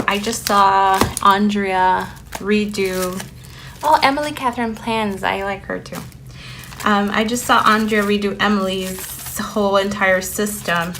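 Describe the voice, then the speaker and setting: A woman whose first language is Spanish talks slowly and rambles, full of fillers. Her voice sits at a middling pitch over a clearly audible steady noise, with paper crinkling in the background. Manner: rambling, chatty, with many fillers. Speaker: planner vlogger. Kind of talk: casual chat while decorating a planner. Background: sticker and paper crinkling.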